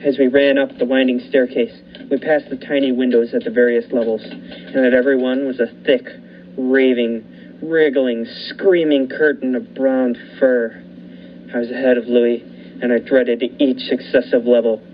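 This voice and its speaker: A young man voicing a lighthouse keeper who narrates a suspenseful radio drama in a measured, dramatic way with lingering pauses. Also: medium pitch, little pitch movement, natural voice. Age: young adult. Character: male lighthouse keeper recounting a nightmare. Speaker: student actor. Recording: muffled with faint hiss.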